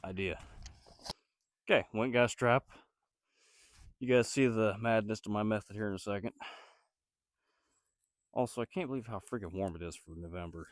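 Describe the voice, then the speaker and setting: A man with a slightly low, nasal voice from a cold, thinking aloud as he builds something outdoors. He rambles and hesitates and stops to find his words while chatting to his viewers. The sound is dry, with no room echo. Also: moderate pace, pauses often. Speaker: DIY builder vlogger. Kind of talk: casual self-talk while building.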